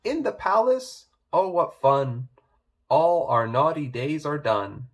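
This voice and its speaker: A man's voice: on the high side, animated, takes it slowly, heavily acted. A man voicing a vain, whiny, fawning young stepsister in a children's fairy-tale storybook. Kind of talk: children's storybook read-aloud.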